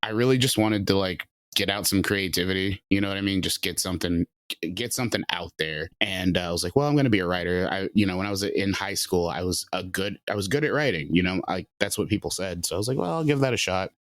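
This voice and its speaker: A man's voice: sits low, quick pace, fairly bright tone, no echo. A man in his late twenties, a writer and producer, talking loosely in a casual interview with lots of fillers. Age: late 20s. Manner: loose and chatty, many fillers.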